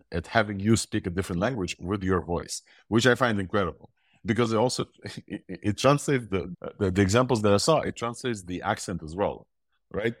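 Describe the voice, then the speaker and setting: A man's voice: on the low side, no echo, studio quality. A man from Ukraine with a mixed Russian and Israeli accent, hosting a casual tech news talk with enthusiasm and rambling restarts. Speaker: podcast host.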